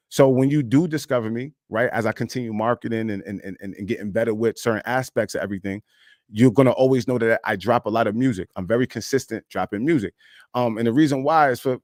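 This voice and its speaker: A man in his thirties talks straight into his streaming mic, loose and rambling as he shares his thoughts with viewers. His speaking pitch sits in a plain middle range. The sound is dry and close, with no room around it. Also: no echo. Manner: rambling, loose and candid, peppered with "you know what I'm saying". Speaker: independent rap artist streaming live. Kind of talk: casual live-stream monologue.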